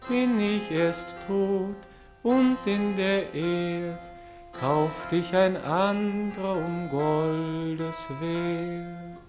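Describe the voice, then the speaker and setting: A melancholy young lover in a radio play, fairly low in pitch for a female voice. The delivery is earnest and lyrical, with clear plucked guitar music around it. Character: eager, lovestruck, melancholy young male poetry-loving singer. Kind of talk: radio drama acting with sung verse.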